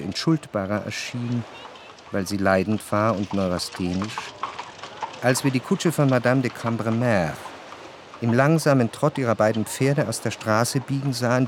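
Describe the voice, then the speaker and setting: An actor playing a reflective, jealous gentleman who narrates a literary radio drama in measured, introspective phrases. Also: on the low side, lightly acted. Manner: measured, introspective, long formal sentences.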